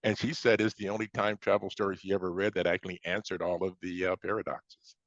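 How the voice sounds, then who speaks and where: An American man from Chicago speaking as an interviewed author, explaining things conversationally in a halting way with repeated starts. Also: deep voice, flat delivery. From Chicago, United States. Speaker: science fiction author being interviewed. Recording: dull, roomy lo-fi sound.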